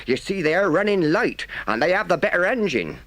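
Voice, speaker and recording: A man voicing a kindly, gruff older male engine driver who speaks firmly and plainly. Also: high-pitched, very animated, normal speed, a bit bright, no room sound, pitched above the performer's own voice. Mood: earnest.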